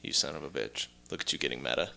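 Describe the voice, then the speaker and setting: A man's voice: very deep voice, fast talker, creaky. A man co-hosting a podcast, playful and a bit crude, telling stories and bantering casually with friends. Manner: playful, animated, mildly crude. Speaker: podcast co-host. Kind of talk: casual joking banter and storytelling.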